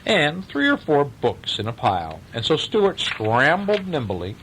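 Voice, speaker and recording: A man's voice: a little high, big pitch swings, a little slow, fairly bright tone, slight background noise, low-quality recording. A man telling a bedtime story aloud, with chatty, questioning asides as if to a child. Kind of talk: animated bedtime story reading with casual asides.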